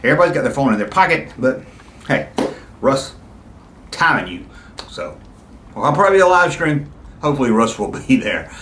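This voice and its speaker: A man's voice: medium pitch, sing-song, a little fast, long pauses, a bit bright. A middle-aged man hosting a casual chat for viewers, reminiscing in a rambling, nostalgic way with frequent asides. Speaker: host of a fan video channel. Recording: roomy echo, clear background noise.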